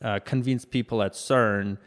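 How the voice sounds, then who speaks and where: A man speaking in a fairly low voice. He answers interview questions in a relaxed, thoughtful way, pausing on fillers and restarting his sentences. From Armenia. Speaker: startup co-founder, guest.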